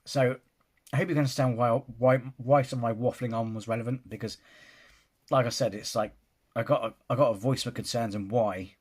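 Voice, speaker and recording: A man's voice: on the low side, breathy edge. A man giving his casual opinion in a film reaction vlog, rambling and restarting his sentences. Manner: rambling, restarts sentences, often says "you know".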